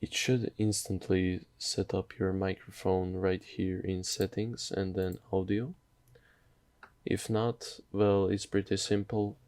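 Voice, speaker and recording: Low, monotone man giving a slow tutorial. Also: no room sound. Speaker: software tutorial host. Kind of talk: casual screen tutorial narration.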